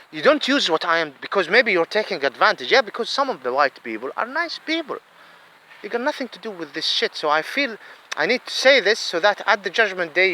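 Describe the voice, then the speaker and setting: A man's voice: sits high, bright, forceful tone, a little hiss or hum, low-quality recording. A man with an Arabic accent giving a heated solo monologue, repeating himself and mixing in Arabic phrases. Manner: heated, repeats words, switches between English and Arabic.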